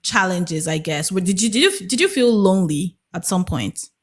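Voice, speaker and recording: A woman's voice: fairly low pitch, lively intonation, moderate pace. A woman hosting a panel discussion, asking questions and handing turns to guests. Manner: inquisitive, steering the conversation. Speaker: host.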